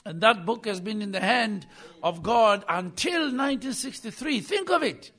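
Visiting preacher guy, really high bright voice, booms then goes quiet. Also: lively intonation, normal speed. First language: not English. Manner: emphatic, swings between loud bursts and quiet asides. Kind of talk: sermon.

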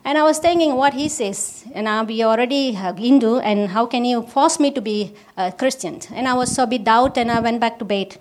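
A woman from Malaysia, giving a personal testimony at a church service in halting English with restarts. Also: fairly high pitch. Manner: halting, with restarts and simple non-native grammar.

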